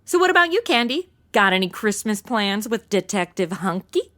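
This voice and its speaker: A woman's voice: medium pitch, very animated, moderate pace, fairly bright tone. A woman playing a brash, snarky young modern sidekick in a comedy audio drama, slangy and sarcastic. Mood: bubbly, playful.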